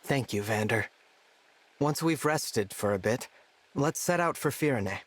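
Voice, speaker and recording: A man playing a gentle, earnest young divine hero in a video game, soft-spoken and formal in manner. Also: medium pitch, expressive, a little slow. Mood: thoughtful, sincere. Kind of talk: scripted video game voice acting.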